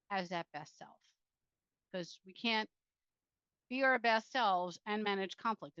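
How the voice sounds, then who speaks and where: A woman author presenting and reading from her self-help book in an earnest, deliberate way, speaking directly to the listener. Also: mid-range voice, fairly bright tone, dry recording, dull audio.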